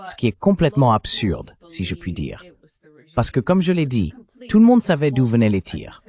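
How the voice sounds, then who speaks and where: A man's voice: mid-range voice, wildly swooping pitch, normal speed, darkish tone, breathy edge, dry recording. A man speaking as a dubbed interview guest, recounting events at length with indignation.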